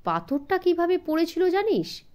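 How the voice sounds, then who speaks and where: A woman's voice: very high voice, very animated, moderate pace, a little mellow, pitched above the performer's own voice. A woman voicing a clever, confident male detective who explains deductions to his younger brother in an audio story. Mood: instructive, assured. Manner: instructive, assured.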